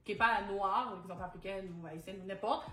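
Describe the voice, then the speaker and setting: A woman's voice: medium pitch, fairly quick. A young woman hosts a casual panel, earnestly asking questions and sharing her own experience. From Quebec. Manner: earnest, asks questions, recounts personal experience. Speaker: talk show host. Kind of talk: moderating a casual discussion. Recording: echoey room, soft music.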